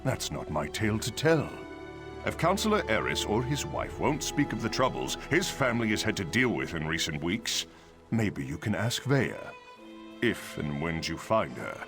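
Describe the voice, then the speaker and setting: An actor playing a brusque, dutiful dark elf guard captain in a video game, curt, condescending and emphatic. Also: male voice, fairly low pitch, big pitch swings, normal speed, music in the background. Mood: wry, guarded. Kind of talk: video game dialogue acting.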